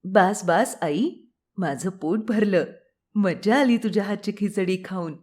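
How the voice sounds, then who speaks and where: A woman's voice: medium pitch, a little slow, darkish tone, lightly acted. A woman voicing an eager little boy with a childlike, pleading manner. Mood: happy, satisfied. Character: hungry, eager little boy asking mother for food.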